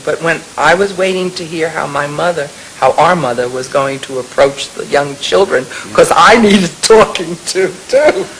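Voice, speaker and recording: A woman's voice: lowish voice, fairly bright tone, rough recording. An elderly woman telling a personal memory as an anecdote in an interview. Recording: faintly hissy interview recording.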